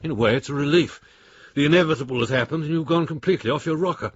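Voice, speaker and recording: An actor playing an exasperated older English adviser, husky, clipped and scornful, reading his letters aloud in a radio drama. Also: a little high, racing, no echo. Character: blunt, exasperated old friend and professional adviser, male. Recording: dry, slightly dull studio sound.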